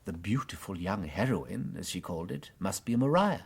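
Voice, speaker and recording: Mild clerical sleuth, English accent, low husky voice, hesitant musing, radio drama. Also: male voice, expressive, normal speed, full character voice. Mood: musing, shrewd. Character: gentle, unworldly, shrewd clerical detective. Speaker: actor in a radio detective drama. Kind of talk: full-cast radio drama acting.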